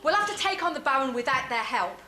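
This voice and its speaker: A woman's voice: high-pitched, expressive, quick pace. A woman playing an earnest, brave young hero prince, projecting in a stage pantomime. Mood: resolute. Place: theatre stage during a live amateur show. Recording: noisy echoey stage recording.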